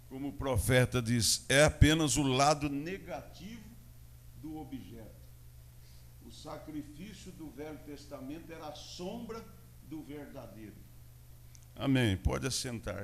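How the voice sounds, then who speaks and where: Slow-preaching Brazilian pastor, higher voice. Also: male voice, a little hiss or hum. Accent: Brazilian Portuguese, São Paulo interior. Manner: preaching with swings between loud proclamation and quiet asides. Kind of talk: sermon. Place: church.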